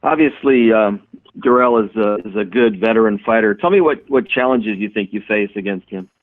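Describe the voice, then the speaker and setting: A man's voice: on the low side, normal speed, no echo. A man working as a sports reporter, putting questions to an interviewee over a telephone. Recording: narrow, tinny phone line.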